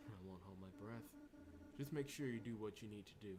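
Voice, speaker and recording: A man's voice: on the low side, a little fast, noisy background, lightly acted. A man voicing a guarded, cynical young woman in a visual novel, speaking bluntly and coldly. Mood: blunt warning. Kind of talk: reading visual novel dialogue aloud. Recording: music behind the voice.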